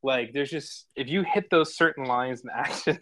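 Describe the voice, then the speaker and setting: A man's voice: mid-range voice, moderate pace, sharp tone, dry recording. Casual, enthusiastic man with dry close sound. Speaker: host and narrator of online script table reads. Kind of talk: casual feedback chat. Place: online voice-chat stream.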